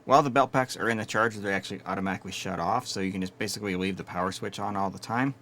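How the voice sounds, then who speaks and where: A man giving a steady, methodical hands-on walkthrough of equipment he is reviewing. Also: fairly low pitch, very fast. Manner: steady, methodical explaining with small restarts. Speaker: product reviewer.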